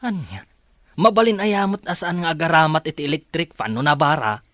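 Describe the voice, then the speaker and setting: A man's voice: fairly high pitch, expressive, fairly quick, a bit bright, no echo, clean studio sound. A man with an Ilocano accent playing an argumentative, sarcastic, hard-drinking son in an audio drama. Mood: complaining. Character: aggrieved hard-drinking son quarrelling over gambling and money.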